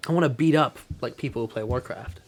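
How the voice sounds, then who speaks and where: A man's voice: medium pitch, quick pace. A man who hosts a podcast, reviewing films casually in a blunt, emphatic, sweary way. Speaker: podcast host. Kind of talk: casual film review chat.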